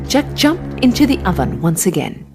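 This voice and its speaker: Female storyteller for children's tales: very animated, swooping intonation, mid-pitched, music bed underneath. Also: normal speed. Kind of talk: scripted children's story narration. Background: background music.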